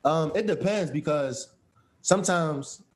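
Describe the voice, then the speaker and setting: A man's voice: fairly high pitch, unhurried, faint music behind. Laid-back hesitant man rapper. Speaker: rapper guest. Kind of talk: casual interview answers.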